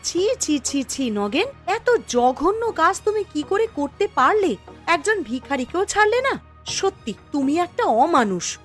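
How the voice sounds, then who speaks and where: A woman playing a lively, outspoken young village woman in a cartoon folk tale, expressive and quick to question or scold. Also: very high-pitched, soft background music, exaggerated voice. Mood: indignant.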